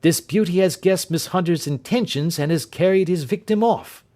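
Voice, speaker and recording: A man doing a brisk, commanding detective. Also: high voice, dark tone, voice pushed up, lightly acted. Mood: urgent. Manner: crisp, urgent and decisive. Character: sharp-minded male detective, brisk and commanding.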